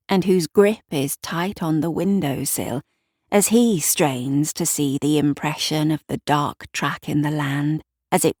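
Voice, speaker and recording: A woman narrates scripted fiction in a low voice, taking her time over each phrase. Her delivery is measured and literary, and the sound is dry with no room to it. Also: gravelly, a little mellow, no echo. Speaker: author and narrator of an audio drama.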